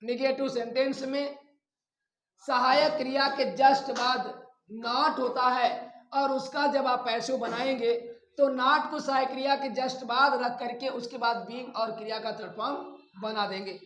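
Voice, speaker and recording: High-pitched Indian man teaching, echoey room. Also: steady tone, unhurried, a bit bright. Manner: instructional, dictates English examples word by word. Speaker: English grammar teacher. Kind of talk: step-by-step grammar lesson.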